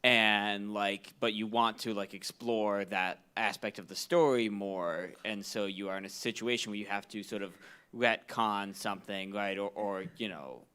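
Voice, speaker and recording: A man asking a casual question from an audience, phrasing it carefully and haltingly. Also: fairly low pitch, fairly even intonation, sharp tone, smooth. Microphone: handed-round microphone.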